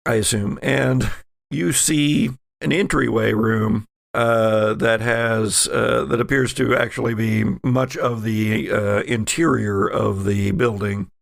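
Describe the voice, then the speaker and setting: A man runs a tabletop horror game as game master, his voice creaky and closely recorded with a dry sound. He sets out each scene in a halting, deliberate manner. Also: fairly low pitch, fairly even intonation, dry recording.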